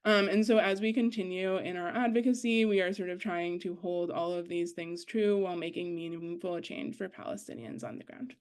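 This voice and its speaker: A woman's voice: fairly low pitch, fairly even intonation, normal speed, hardly any pauses, clear, resonant tone. A young woman, a student organizer, speaking earnestly on an online panel, with many fillers and restarts. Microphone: video call.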